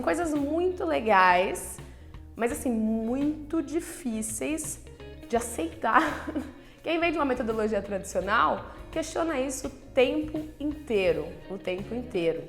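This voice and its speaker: A woman, using a slightly high pitch. She talks to camera in a loose, frank way, leaning on filler words and asking herself questions. Soft music plays faintly behind her. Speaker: nutritionist and channel host. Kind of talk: casual talk to camera.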